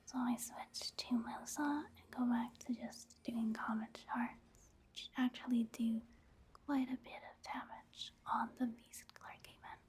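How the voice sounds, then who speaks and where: Whispering woman doing soft ASMR game commentary. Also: a little high, little pitch movement. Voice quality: breathy, whispery. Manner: hushed, casual, unhurried musing. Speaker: ASMR creator commenting on gameplay.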